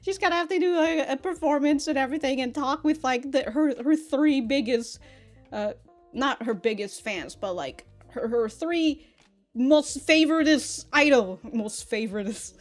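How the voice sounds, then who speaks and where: A woman's voice: sits high, moderate pace, faint music behind. A woman giving a casual episode review as a reaction host, in slangy, sweary talk with mock indignation and frequent laughter.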